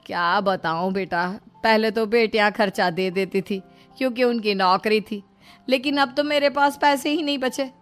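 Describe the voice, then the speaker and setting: A woman's voice: on the high side, moderate pace, clean tone, natural voice. A woman voicing a shrewd middle-aged mother-in-law in a story, coaxing, motherly and firm. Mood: feigned worry. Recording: music behind the voice.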